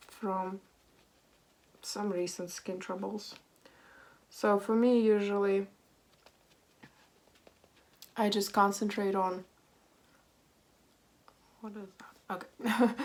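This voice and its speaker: A woman in her thirties, hoarse and a little raspy from a cold, chatting casually and self-deprecatingly as she walks through her makeup routine at home. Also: medium pitch. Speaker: beauty vlogger. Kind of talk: casual get-ready-with-me chat.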